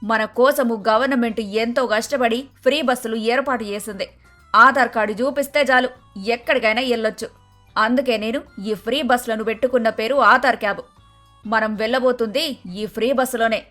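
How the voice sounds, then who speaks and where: A woman's voice: a little high, steady tone, moderate pace, fairly bright tone, lightly acted. A woman playing a bossy, scolding middle-aged mother-in-law in a dramatised moral story, brusque and emphatic. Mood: matter-of-fact. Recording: music under the voice.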